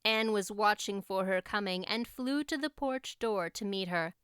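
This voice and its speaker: A woman's voice: medium pitch, moderate pace, fairly bright tone, clean tone, dry recording, studio quality. A woman reading scripted story narration as an audiobook narrator.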